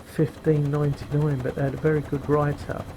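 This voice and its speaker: A middle-aged man with a very deep voice, giving casual commentary while flying a simulated helicopter, unhurried and full of fillers, on a cheap headset microphone. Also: little pitch movement. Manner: unhurried, explains as he flies, frequent fillers. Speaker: flight sim video maker. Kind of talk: casual flight sim commentary. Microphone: cheap gaming headset microphone. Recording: loud helicopter engine noise behind. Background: helicopter sim engine and rotor noise.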